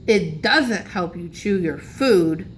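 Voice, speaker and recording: A woman's voice: on the low side, expressive, takes it slowly, a little hiss or hum, low-quality recording. Woman reading as a toothless old grandpa, mumbling. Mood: moaning. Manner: mumbling, moaning.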